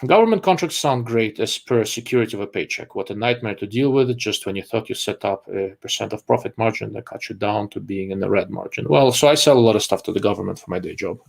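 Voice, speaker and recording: A man's voice: lowish voice, fast talker, creaky, hardly any pauses. A man from Russia, hosting a live-stream chat in a rambling way, full of fillers and restarts. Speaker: host.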